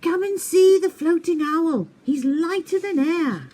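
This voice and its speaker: A woman's voice: extremely high pitch, unhurried, mellow, voice pushed up. A woman voicing a kindly, encouraging female woodland animal in a storybook, reassuring and full of advice. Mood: calling out. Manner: reassuring, advising.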